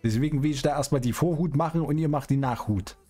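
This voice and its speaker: A middle-aged man, chatting casually as a streamer in a role-play game with drawn-out, teasing phrasing. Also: a little high, dark tone. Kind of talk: casual in-character gaming chat. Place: indoor streaming room. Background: music.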